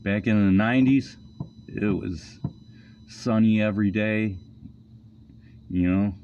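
A middle-aged man hosting an interview, easygoing and soft-spoken. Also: lowish voice, slow. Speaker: interviewer, host. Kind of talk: casual interview chat. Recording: dull, slightly noisy line.

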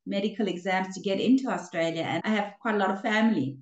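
A woman's voice: medium pitch, fast talker, roomy sound. An older woman from Africa, a relationships coach telling her story in an interview, with hesitations and restarts. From South Africa. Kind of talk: casual interview conversation.

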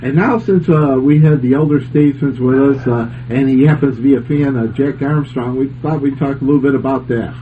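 A man's voice: mid-range voice, fairly quick, hardly any pauses. An older man hosting a radio show in a casual, conversational style. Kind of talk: casual chat. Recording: noisy phone-like lo-fi radio sound.

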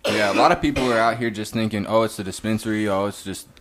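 A man hosting a casual podcast chat, speaking in a relaxed, slangy way and often saying "you know what I'm saying". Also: lowish voice, quick pace, a crowd in the background.

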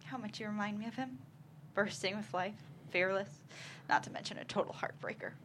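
A woman's voice: medium pitch, brisk, a bit bright, a little hiss or hum, close to an everyday voice. A woman playing a tender widowed mother in a script table read. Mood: tender, wistful. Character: tender widowed mother remembering her late husband.

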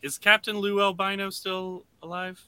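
A man's voice: high voice, flat delivery, moderate pace, pushed and bright, smooth, no room sound. A man co-hosting a podcast, speaking in casual chat. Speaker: podcast co-host.

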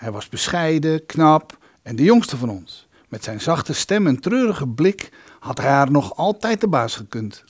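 A man playing a young musician who wryly recalls his lodging days in an audio drama, his voice husky. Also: a little high, sing-song, measured pace, full character voice. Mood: wry, reminiscing. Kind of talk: Dutch audio drama narration.

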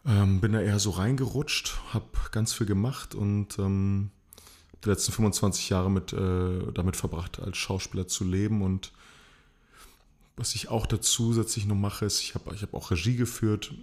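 Low-voiced man, hesitant and self-deprecating. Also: steady tone, normal speed, darkish tone. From Chile. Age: 40s. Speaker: podcast guest, actor and coach. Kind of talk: casual podcast conversation.

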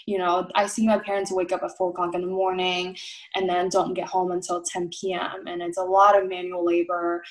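A woman's voice: fairly low pitch, monotone, a little fast, low-quality recording. A young woman from Taiwan, a food blogger, talking casually as an interview guest, thoughtful with frequent "you know" and "um" fillers.

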